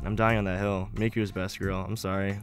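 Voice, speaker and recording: A man's voice: low voice, little pitch movement, fairly quick. A man chatting casually as a podcast guest, emphatic and jokey, repeating himself for effect. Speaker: podcast guest and amateur voice actor. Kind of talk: casual group banter. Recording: music playing behind the voice. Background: background music.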